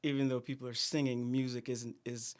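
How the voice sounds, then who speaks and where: A middle-aged man from Guyana, a singer, chatting in an interview in a soft, halting way with many fillers. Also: mid-range voice, level tone, clear, resonant tone. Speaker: guest singer. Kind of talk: casual interview chat.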